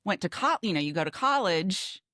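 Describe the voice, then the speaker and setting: Podcast co-host: American woman, 50s, notably low pitch, casual reminiscing, dry acoustic. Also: very animated, fairly quick, sharp tone, no room sound.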